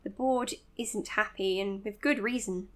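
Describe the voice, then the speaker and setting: A woman's voice: mid-range voice, measured pace, fairly bright tone, close to an everyday voice. An actress playing an overworked, self-blaming woman doctor in a naturalistic audio drama, speaking precisely, formally and earnestly. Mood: anxious, self-blaming. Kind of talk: naturalistic audio drama, dictated case notes and dialogue.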